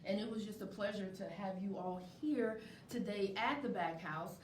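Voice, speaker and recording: A woman hosting a live community interview show before a studio audience. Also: normal speed. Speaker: talk show host. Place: indoor venue with studio audience. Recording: echoey room, distant mic, faint rumbling noise.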